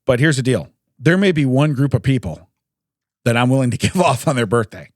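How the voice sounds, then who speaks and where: A man, a podcast co-host, telling odd news stories in a casual, jokey way with chuckles and throat-clearing. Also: medium pitch, lively intonation, fairly quick, no room sound, studio quality.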